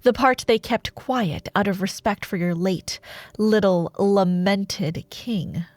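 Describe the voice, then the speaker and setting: A woman playing a taunting, imperious dark warlord sorceress in a roleplay audio drama, speaking in a theatrical, sardonic way with lingering pauses. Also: medium pitch, no echo, close to an everyday voice. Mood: probing, smug.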